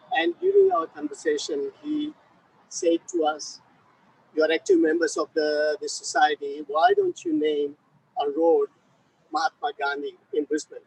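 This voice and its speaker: A man's voice: very high voice, gravelly. A man giving an informal, chatty speech at a ceremony, rambling with many fillers, speaking without a microphone. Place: ceremony at a statue. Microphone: no microphone, far from the recorder. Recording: distant voice, faint background noise.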